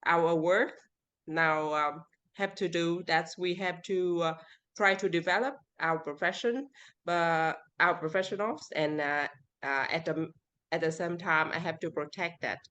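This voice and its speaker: A woman with a Vietnamese accent speaking English as a second language, answering interview questions haltingly and searching for words. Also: lowish voice, fairly bright tone. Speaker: interview guest. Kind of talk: casual interview.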